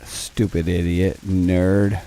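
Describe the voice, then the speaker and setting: Slow-talking young man with a low voice. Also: flat delivery, warm tone. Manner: rambling, profane, joking, talks to chat and to the game. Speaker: gaming streamer. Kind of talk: casual gameplay commentary.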